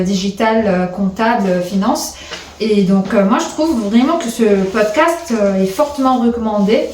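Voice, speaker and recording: A young woman from Lebanon speaking to camera in a measured way, with frequent fillers. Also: mid-range voice, a little mellow. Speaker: workshop participant. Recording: echoey room, mic at a distance, faint noise.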